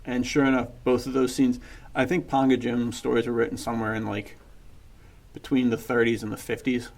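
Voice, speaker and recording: A man's voice: mid-range voice, level tone, brisk, gravelly, darkish tone. A man chatting casually about books as a podcast co-host, in an enthusiastic, slangy and informal way. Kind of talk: casual book chat.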